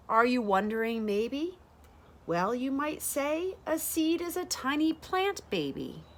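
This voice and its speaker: A woman in her thirties reading a rhyming children's picture book aloud, with deliberate, expressive phrasing for young listeners. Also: on the high side. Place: bright sunroom with large windows, indoors.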